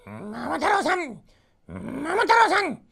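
A man's voice: extremely high pitch, wildly swooping pitch, takes it slowly, creaky, pushed and bright, voice pushed up, exaggerated voice. A man voicing a courteous, eager pheasant in a storybook folk tale, calling out politely. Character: courteous, eager pheasant, high-voiced bird retainer.

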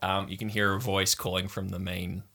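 A man's voice: low-pitched, level tone, moderate pace, creaky, sharp tone. A man improvising narration as game master of a tabletop game.

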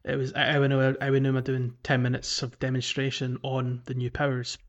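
A man's voice: mid-range voice, monotone, a little fast. Man, podcast co-host, casual gaming chat, reflective and hesitant, level intonation, slightly lo-fi sound.

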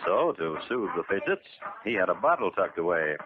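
A man narrates an old radio true crime drama. His voice is low, deadpan and measured. The recording is narrow and phone-like, with heavy hiss and crackle. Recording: noisy, narrow-band 1950s radio recording.

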